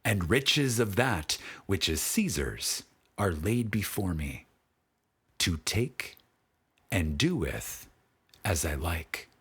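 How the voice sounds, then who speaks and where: A man with a husky voice reads a spiritual audiobook in a solemn, deliberate manner with dramatic pauses. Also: sits low, big pitch swings, a bit bright. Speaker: audiobook narrator. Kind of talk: scripted spiritual audiobook narration.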